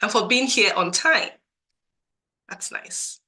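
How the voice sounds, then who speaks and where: Bright-voiced woman with a cold, on a webinar. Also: medium pitch, animated, slow. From Nigeria. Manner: earnest, repeats herself for emphasis. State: has a cold, voice a bit cracked. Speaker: webinar host and career coach. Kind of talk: live webinar presentation. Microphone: computer mic on a video call.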